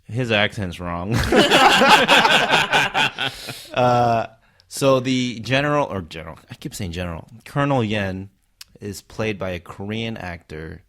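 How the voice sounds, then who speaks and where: A man's voice: on the low side, expressive, unhurried. A middle-aged man whose first language is Vietnamese, talking casually and animatedly as a podcast guest and stunt performer.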